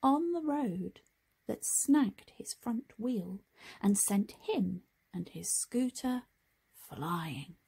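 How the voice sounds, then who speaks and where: Breathy, unhurried woman reading a storybook. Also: medium pitch. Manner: expressive, deliberate phrasing for children. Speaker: storybook reader. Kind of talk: scripted children's story narration.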